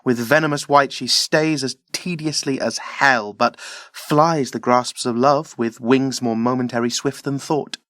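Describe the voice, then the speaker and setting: A tender young lover from a Shakespearean radio play, acted by a man. His earnest voice sits at an ordinary male pitch, recorded dry with no room around it. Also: steady tone, unhurried, hardly any pauses, a bit bright, dry recording, lightly acted. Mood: fretful. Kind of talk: Shakespearean audio drama acting.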